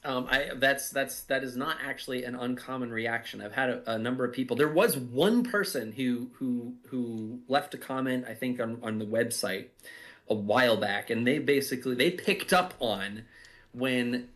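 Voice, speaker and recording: A man with a middling pitch talks through creative choices in a casual group chat. He is thoughtful and halting, often pausing to find the right word. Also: a bit bright. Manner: thoughtful, halting, searching for words. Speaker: audio drama writer giving cast commentary. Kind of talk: casual chat, production commentary.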